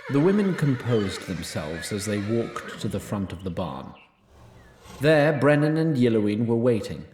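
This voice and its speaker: A man's voice: mid-range voice, a little mellow, reverberant, a little hiss or hum. A man narrating a fantasy audio drama from a script, dry, formal and wry.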